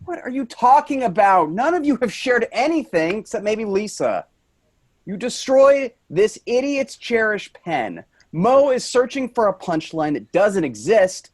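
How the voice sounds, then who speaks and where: A man's voice: high-pitched, big pitch swings, a little slow, full character voice. A man playing an angry, grieving office worker in a staged play reading, blunt and sarcastic. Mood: defensive, exasperated.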